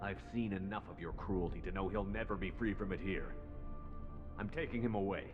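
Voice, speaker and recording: A deep-voiced, resolute military commander played by a man in a sci-fi video game. He is blunt, firm and plain-spoken. The sound is a little dull, with clear music behind him. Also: fairly quick, long reverb tail, lightly acted. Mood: stern, threatening.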